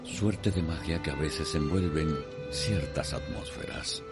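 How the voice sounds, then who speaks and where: A man with a Cuban accent narrating a radio soap in a measured, literary, dramatic style. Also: very deep voice. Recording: music behind the voice.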